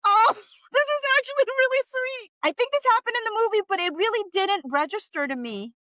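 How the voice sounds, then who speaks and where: A woman with a bright, clear-edged voice in a very dry, close sound. She is expressive and earnest, moving between dramatic script reading and casual, emotional reaction. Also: very high voice, a little fast, no room sound. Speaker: host reading a screenplay aloud and reacting.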